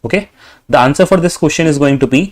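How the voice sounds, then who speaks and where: A young man with an Indian English accent, tutoring for an exam. He dictates practice sentences slowly and fills his talk with "okay". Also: a little high. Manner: repeats "okay" often, slow deliberate dictation of sentences.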